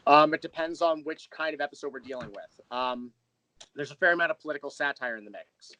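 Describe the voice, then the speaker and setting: A man's voice: on the high side, quick pace, sharp tone, poor audio. A man speaking as the guest in a casual interview, explaining his creative work in a thoughtful, rambling storyteller's way. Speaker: interview guest, audio drama creator and writer.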